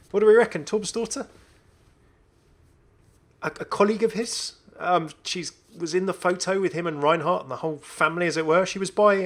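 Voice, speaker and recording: A man's voice: sits high, a bit bright. A man hosting a gaming video, commenting casually and enthusiastically as he thinks aloud. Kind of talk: casual game update commentary.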